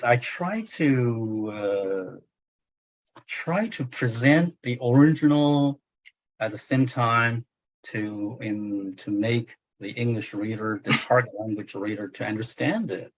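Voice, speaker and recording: A man's voice: fairly low pitch, takes it slowly. A man in his sixties, a native Chinese speaker with a Chinese accent, talking as a translator in a book club discussion, hesitant with many fillers and restarts. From China. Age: 60s. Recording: muffled lo-fi audio.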